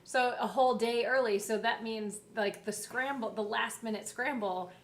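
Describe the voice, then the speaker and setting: A woman's voice: fairly high pitch, moderate pace. A woman in casual conversation as a podcast co-host. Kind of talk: casual chat.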